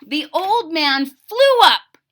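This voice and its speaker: A woman's voice: very high voice, slow pace. A woman reading a children's story aloud expressively and dramatically, with asides to her listeners. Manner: animated, dramatic, questioning listeners. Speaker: storybook reader.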